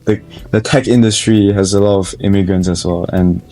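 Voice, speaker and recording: A man's voice: fairly low pitch, steady tone, a little fast, dark tone, soft background music, low-quality recording. A young man with a Malaysian accent, a college student telling his own story in an interview, thoughtful and often restarting his sentences. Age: young adult. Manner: thoughtful, with frequent restarts and hedges. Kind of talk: personal interview testimony.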